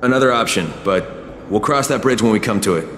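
Actor as blunt, defiant American undercover agent. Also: male voice, fairly low pitch, brisk, fairly bright tone, lightly acted. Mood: guarded, determined. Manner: blunt, foul-mouthed, guarded. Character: gruff, defiant undercover male agent, young adult. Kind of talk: video game cutscene acting. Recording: faint background noise.

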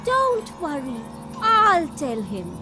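High-voiced, shrewd cartoon wife. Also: female voice, wildly swooping pitch, slow pace, over loud music, heavily acted. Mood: composed. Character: shrewd, calm, gently scolding wife and mother. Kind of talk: animated children's story acting.

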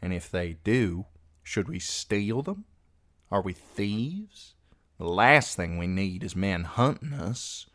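Man as grave cautious boy, slow measured delivery, foreboding, storybook character. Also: low-pitched, lively intonation, a bit bright, dry recording, studio quality, exaggerated voice. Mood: sharp. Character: solemn, cautious boy, grave beyond his years.

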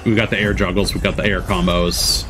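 Low-voiced man giving casual live commentary. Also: little pitch movement, brisk. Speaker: streamer reacting to a games showcase. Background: game trailer music.

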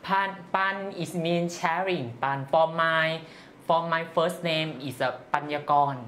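An interview guest from northeast Thailand with a Thai accent, giving personal answers in halting, simple non-native English. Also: medium pitch, steady tone, fairly bright tone, slight background noise.

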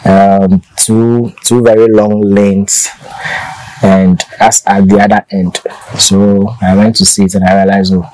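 A man's voice: fairly low pitch, flat delivery, a little slow, a little mellow, rough recording. A man in his thirties from Ghana, a farmer telling his story in a casual interview, easygoing and restarting often, recorded outdoors under a thatched shelter on a lapel mic.

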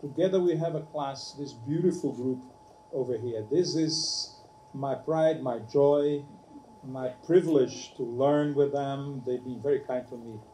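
A middle-aged man hosting a classroom gathering, speaking in a halting way full of fillers and restarts. His voice is dark and mellow, with an echoey room sound around it and faint background hiss. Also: mid-range voice. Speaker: ESL professor and event host. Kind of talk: hesitant, warm classroom hosting. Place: campus meeting room.

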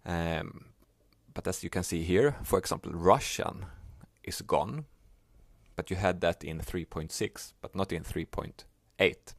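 A man whose first language is Swedish, explaining a software task step by step in a plain, unhurried way. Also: low voice, vocal fry, dry recording, studio quality. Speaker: software tutorial maker. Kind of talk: screen-recorded software tutorial.

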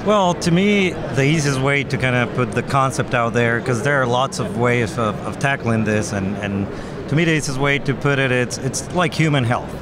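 A man's voice: mid-range voice, normal speed. A young man, an agronomist guest, explaining earnestly with everyday analogies into a desk mic at a trade show booth. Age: young adult. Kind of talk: explanatory interview answers. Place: busy expo hall booth. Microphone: desk microphone on stand. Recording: background noise of trade show floor.

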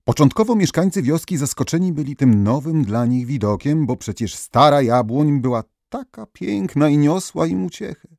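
A man narrating scripted folk tales as a storyteller, expressively and with dramatic pauses. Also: fairly high pitch, lively intonation, gravelly, darkish tone, dry recording, studio quality.

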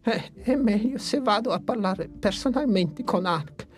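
A woman voicing a calm, wise long-necked dinosaur in a cartoon dub. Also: medium pitch, measured pace, natural voice. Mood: worried. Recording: music faintly under dialogue.

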